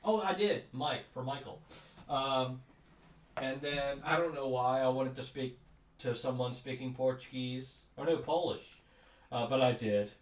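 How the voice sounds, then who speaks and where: A man talking casually as a podcast co-host, rambling along with cynical asides. His mid-pitched voice sounds set back from the mic in a roomy, echoing space. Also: measured pace, far from the mic. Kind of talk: casual chat.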